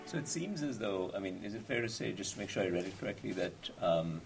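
Deep gravelly man, halting questions. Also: expressive, over loud music. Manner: halting, restarts, checks his understanding with questions. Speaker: faculty researcher. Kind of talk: casual academic discussion.

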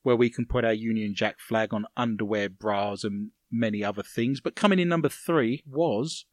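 A man's voice: fairly low pitch, moderate pace, no room sound, studio quality. A British man hosting a radio show with teasing, dryly jokey banter as he reads out listener messages.

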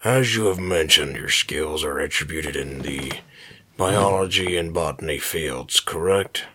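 An actor playing an authoritative space agency officer running a formal interview in an audio drama, in a husky voice. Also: male voice, very deep voice, a little slow, noisy background. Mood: formal, probing.

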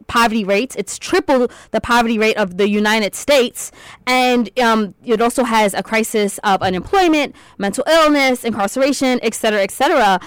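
Smooth-voiced woman hosting a panel. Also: fairly high pitch, no room sound. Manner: measured, moderating, with "uh" fillers. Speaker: host. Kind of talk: panel discussion.

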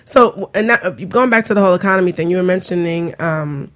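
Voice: medium pitch, fast talker, a bit bright. Radio host, curious, keeps the questions coming. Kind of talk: casual radio talk show hosting.

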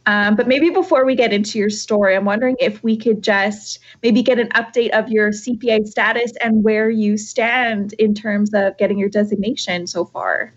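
A woman's voice: mid-range voice, fairly even intonation, normal speed, few pauses, lo-fi sound. A woman hosting a podcast from home, friendly and chatty with many fillers. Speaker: podcast host. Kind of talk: casual remote interview. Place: her home. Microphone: remote call from home.

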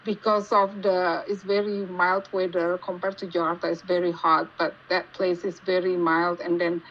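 A woman with an Indonesian accent giving casual interview answers, haltingly searching for words as she goes. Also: on the low side, little pitch movement. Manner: halting, searching for words in non-native English. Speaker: podcast guest, geologist. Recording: dull lo-fi call with faint noise.

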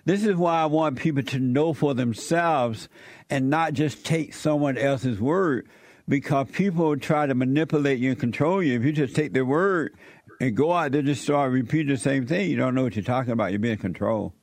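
A man hosting an interview, asking measured questions and giving brief affirmations. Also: mid-range voice, a little mellow, no room sound.

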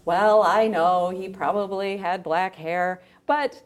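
A woman's voice: mid-range voice, fairly even intonation, takes it slowly, poor audio. Reflective woman in podcast conversation. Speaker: podcast guest. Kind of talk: thoughtful conversational interview talk.

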